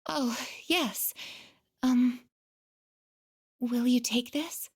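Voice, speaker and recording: A woman voicing a gentle, earnest female android in a video game, speaking softly and hesitantly with shy devotion. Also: fairly high pitch, breathy edge, exaggerated voice. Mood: hesitant, sincere. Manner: soft, hesitant, deliberate, tender. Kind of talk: video game voice acting, emotional dialogue.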